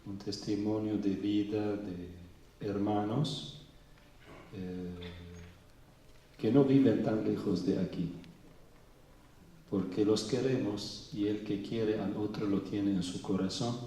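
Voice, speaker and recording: A middle-aged man from Venezuela speaking slowly and thoughtfully at a public talk, pausing often. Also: lowish voice, mellow. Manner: slow and thoughtful, with pauses and fillers. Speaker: Orthodox bishop giving a talk. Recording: echoey hall, mic far off.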